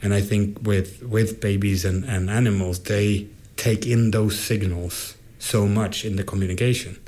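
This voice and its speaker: A man's voice: fairly low pitch, fairly even intonation, unhurried, a little mellow. A man from Sweden, talking casually in a rambling, hesitant way full of restarts. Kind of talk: casual conversation.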